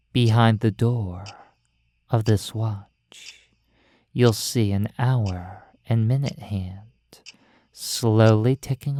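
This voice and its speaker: A hypnotist guiding a sleep induction in a soothing, measured voice with deliberate pauses. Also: mid-range voice, mellow, dry recording. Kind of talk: slow hypnosis sleep induction, guided imagery. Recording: faint ticking clicks behind dry close voice. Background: ticking pocket watch.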